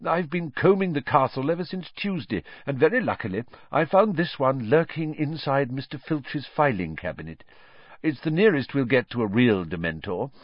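A thoughtful, gentle schoolmaster of magic, acted by a man for a storybook, explains in measured phrases with lifted, varied pitch. The sound is close, dry and a little dull. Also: animated, no room sound, lightly acted. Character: gentle, thoughtful adult male teacher of magic. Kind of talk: audiobook character acting.

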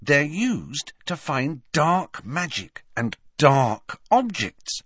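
A bookish teenage schoolgirl in a storybook, played by a man reading aloud. Her voice is raised high and swings about in pitch. She sounds bossy and exasperated, a scornful know-it-all. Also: big pitch swings, very slow, a bit bright, breathy edge, no echo, studio quality, full character voice.

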